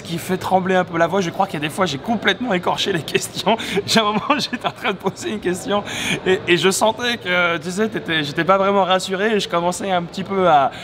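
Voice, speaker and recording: A man's voice: sits high, quick pace, background noise. A man in his thirties from France, chatting casually and laughing as he recaps an interview experience. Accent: French. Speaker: YouTuber interviewer. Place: bright room with large window.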